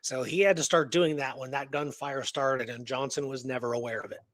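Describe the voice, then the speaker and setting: A man's voice: mid-range voice, steady tone, fairly quick, a bit bright, no echo, clean studio sound. A man hosting a talk show, telling a historical story in a casual, colloquial, earnest and engrossed way. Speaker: radio show host telling a true story.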